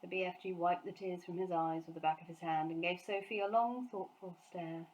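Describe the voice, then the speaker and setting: A woman's voice: lowish voice, steady tone, fairly quick, a little mellow, slight background noise, rough recording. A woman teacher reading a children's storybook aloud as its narrator.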